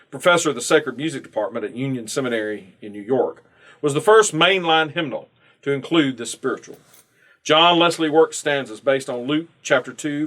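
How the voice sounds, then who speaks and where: A man's voice: mid-range voice, fairly bright tone. Man reading a formal devotional aloud. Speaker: church member reading a devotional. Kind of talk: scripted narration, read aloud.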